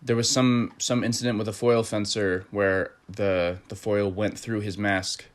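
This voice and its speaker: A man hosting a casual online chat, talking in a relaxed, conversational way with fillers. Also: fairly low pitch, unhurried. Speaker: host.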